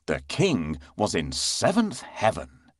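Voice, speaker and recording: A man narrating a children's bedtime story in an expressive storytelling manner. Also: lowish voice, slow, no echo, studio quality.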